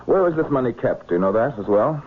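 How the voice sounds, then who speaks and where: An actor playing a cool, methodical male investigator with a measured, probing manner, in vintage radio drama style. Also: mid-range voice, expressive, slightly rough. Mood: businesslike. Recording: phone-like, noisy vintage radio recording.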